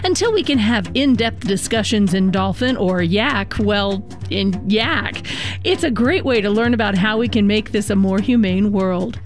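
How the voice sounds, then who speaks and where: Lively woman reading a radio promo. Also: medium pitch, unhurried, faint music behind. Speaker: radio show host in a promo.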